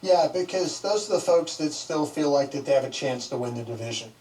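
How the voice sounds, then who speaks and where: Guy on sports talk radio, kinda high voice, coming from a speaker far from the mic. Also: lively intonation, a little fast, a little mellow. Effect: played through a radio speaker. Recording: radio playback picked up from a distance.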